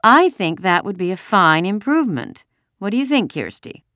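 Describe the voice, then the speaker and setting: A woman's voice: medium pitch, sing-song, normal speed, bright, forceful tone, no room sound, studio quality, voice pushed up. A woman voicing a patient, slightly exasperated mother, explaining soothingly in an audiobook. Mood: amused. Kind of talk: acted children's audiobook dialogue.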